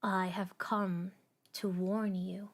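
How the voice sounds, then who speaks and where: A woman voicing the Star Forger, a stern cosmic maker who speaks in a measured, cold, unhurried way. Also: medium pitch, little pitch movement. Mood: warning.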